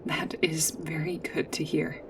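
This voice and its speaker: Low, formal female android guide, acted by a woman. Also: flat delivery, creaky, in a whisper, far from the mic. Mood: polite, pleased. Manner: formal, precise, literal wording with hesitant pauses. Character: polite, earnest female android guide on a snowy planet. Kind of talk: sci-fi ASMR roleplay. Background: music.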